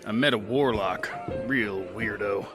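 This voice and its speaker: A man's voice: fairly low pitch, loud music behind the voice, natural voice. A man playing a sardonic, haunted gunslinger. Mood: world-weary. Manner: gruff, slow, sardonic. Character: haunted male gunslinger veteran, hard-bitten, sardonic. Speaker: narrator and lead.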